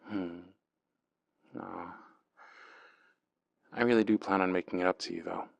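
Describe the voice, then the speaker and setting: A man speaking as a boyfriend in an ASMR roleplay, soft, intimate and teasing, close to the microphone. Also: low voice, fairly even intonation, a little slow, creaky, no room sound.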